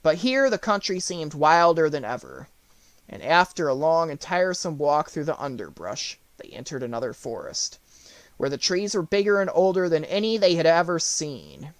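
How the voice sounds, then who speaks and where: Male audiobook narrator for a children's storybook, slightly high voice, dry close recording. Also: animated, moderate pace, no echo.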